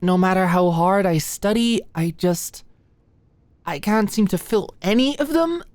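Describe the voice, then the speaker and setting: A woman's voice: medium pitch, expressive, unhurried, a little mellow, no room sound, natural voice. A woman playing an anxious, stressed-out young medical student in an intimate roleplay monologue, rambling and stammering as she goes. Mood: frantic, overwhelmed. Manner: rambling, stammering, hesitant, swearing.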